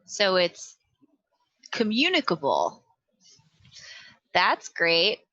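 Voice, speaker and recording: A woman playing a tabletop role-playing game, casually reasoning aloud in measured phrases with thoughtful pauses. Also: lowish voice, huge pitch swings, sharp tone, no room sound, dull audio.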